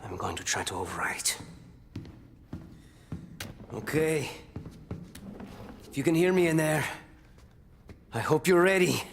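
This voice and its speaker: A man's voice: a little high, very animated, takes it slowly, long pauses, full character voice. A man playing a desperate, emotional military pilot in a cinematic video game, speaking with raw urgency. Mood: anxious, pleading. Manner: urgent, anxious muttering and pleading.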